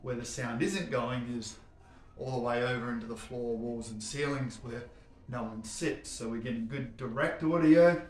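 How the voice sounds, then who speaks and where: A man's voice: mid-range voice, fairly even intonation, normal speed. A man giving a casual, enthusiastic and explanatory product commentary in an echoey indoor space. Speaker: home cinema showroom presenter. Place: showroom warehouse, indoors. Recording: echoey, reverberant indoor room.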